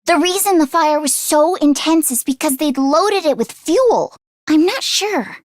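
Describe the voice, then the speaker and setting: A woman voicing an earnest, squeamish teenage schoolgirl mechanic in an anime game, expressive and quick to exclaim. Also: high-pitched, no echo, clean studio sound. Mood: earnest, explaining.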